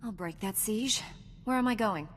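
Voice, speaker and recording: A woman's voice: mid-range voice, normal speed, a little hiss or hum, natural voice. An actress voicing a resolute, self-assured female Jedi Knight in a video game, cool in manner. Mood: businesslike.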